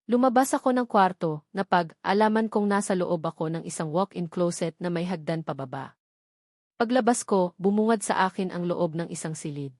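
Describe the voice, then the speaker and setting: A woman's voice: on the low side, normal speed, darkish tone, dry recording, studio quality. A woman reading a dramatic first-person story as a scripted narrator. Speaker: narrator of a romance novel.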